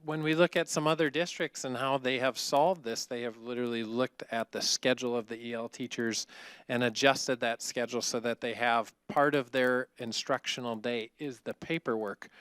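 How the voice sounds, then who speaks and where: A man speaking as a school district administrator at a board meeting, talking in a measured way with frequent fillers. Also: mid-range voice, a bit bright, smooth, no room sound. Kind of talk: formal meeting remarks.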